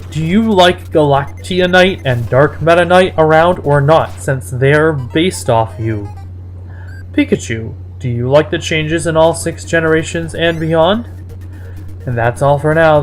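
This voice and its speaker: A person reading fan fiction and its reviews aloud in a mocking dramatic style, breaking off into exasperated asides. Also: mid-range voice.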